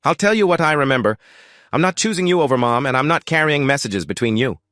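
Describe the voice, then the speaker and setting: A guarded, frank grown son in an audio family drama, voiced by a male narrator. He talks directly in a raised pitch, with a dry, close sound. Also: animated, quick pace, fairly bright tone, dry recording, natural voice. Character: guarded adult son, frank and hurt, refusing sides.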